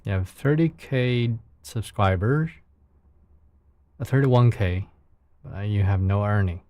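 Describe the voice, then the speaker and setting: A man's voice: fairly low pitch, takes it slowly, warm tone, no room sound. A man from China with a Chinese accent and a soothing voice, chatting casually with viewers on his livestream in careful, searching second-language phrasing. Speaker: live streamer.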